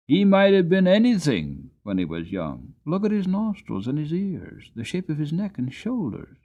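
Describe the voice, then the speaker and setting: A hearty old country grandfather, as a man acts him out. He is kindly and good-humoured, speaking deliberately with big swings of pitch. The storybook recording is a little dull. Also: very animated, mellow. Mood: musing, kindly. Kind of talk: dramatic audiobook character voice.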